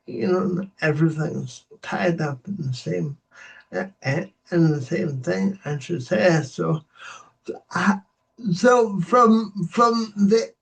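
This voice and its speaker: Slow gravelly male host, distant mic. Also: high-pitched. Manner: slow and sympathetic, with many short affirmations. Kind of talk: interview conversation. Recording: distant, slightly muffled mic.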